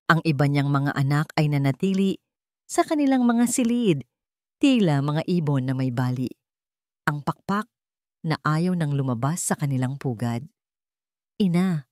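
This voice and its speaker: A woman's voice: low voice, darkish tone, no echo, studio quality. A woman narrator reading a scripted dramatic story.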